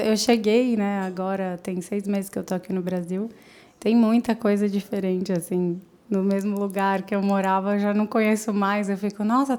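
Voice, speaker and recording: A woman's voice: mid-range voice, a little mellow. A woman in her late thirties with a São Paulo accent, chatting as a podcast guest, laughing often and trailing off with fillers. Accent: São Paulo Brazilian Portuguese. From São Paulo, Brazil. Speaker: podcast guest, marketing professional. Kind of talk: casual interview chat.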